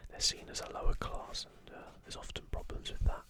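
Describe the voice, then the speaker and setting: Male voice, constant breathy whisper, unvoiced, ASMR commentary, video host. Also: brisk.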